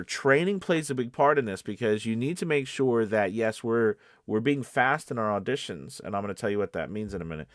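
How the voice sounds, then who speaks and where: A man's voice: fairly low pitch, dry recording, clean studio sound. A man giving informal coaching as a video host, explaining things conversationally and often checking in with the listener. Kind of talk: informal instructional talk to camera.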